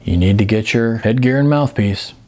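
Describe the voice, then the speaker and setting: A man's voice: lowish voice, moderate pace, darkish tone, lightly acted. A man voicing a no-nonsense kickboxing trainer in an audiobook, firm, clipped and instructive. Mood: firm warning.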